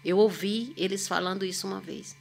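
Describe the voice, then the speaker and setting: A chatty woman. She tells long, rambling stories with plenty of exclamations. Her speaking voice sits at a middle pitch. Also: steady tone, a little fast, gravelly, a little hiss or hum. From Northeast Brazil. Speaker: podcast guest, singer. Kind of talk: casual storytelling chat.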